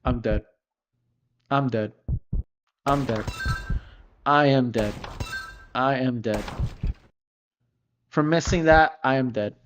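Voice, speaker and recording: A man's voice: medium pitch, takes it slowly, long pauses, background noise. A male streamer muttering and repeating phrases as he reacts to a game. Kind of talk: casual livestream gaming commentary. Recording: music playing loudly behind voice. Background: loud music.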